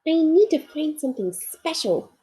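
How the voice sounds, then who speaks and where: A woman's voice: high voice, expressive, normal speed, mellow, voice pushed up. A woman voicing a small, earnest toy bear with a wondering, childlike manner in a storybook. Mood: wondering, hopeful.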